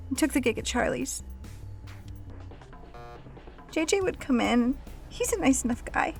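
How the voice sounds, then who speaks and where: A woman's voice: high-pitched, creaky. An actress playing a frightened young woman, a dancer, in a radio drama, plain-spoken and casual.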